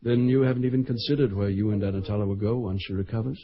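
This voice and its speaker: A man's voice: fairly low pitch, steady tone, fast, lightly acted. An actor playing an earnest, reassuring male sweetheart in a radio drama. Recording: dull lo-fi radio broadcast.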